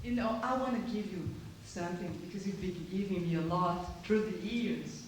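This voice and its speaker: A woman's voice: fairly low pitch, moderate pace. Brazilian woman singer talking to a crowd. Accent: Brazilian Portuguese. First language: Portuguese. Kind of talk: casual stage talk to an audience. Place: prison hall concert. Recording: roomy live concert recording with faint noise.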